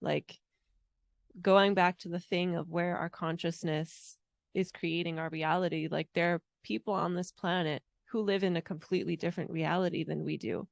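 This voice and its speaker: A woman's voice: lowish voice, steady tone, normal speed, gravelly, dry recording. A woman talking as a guest on a casual podcast, rambling with frequent "like" and "you know". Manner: rambling, filled with "like". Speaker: podcast guest, intuitive mentor. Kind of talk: casual conversation.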